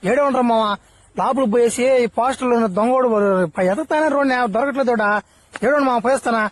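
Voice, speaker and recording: Very high-pitched ranting cartoon man playing a loudmouth. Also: steady tone, quick pace. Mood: panicky. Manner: ranting, slangy, exclamatory. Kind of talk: Telugu comedy cartoon spoof dubbing.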